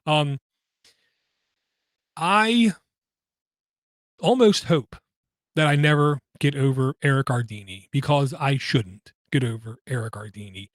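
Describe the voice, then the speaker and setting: A man hosting a podcast, talking through personal matters in a halting way, with many pauses and fillers and an occasional stutter. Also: mid-range voice, no echo, clean studio sound. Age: adult, has kids. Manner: halting, frequent ums and uhs, slight stutter. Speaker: podcast host. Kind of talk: personal monologue.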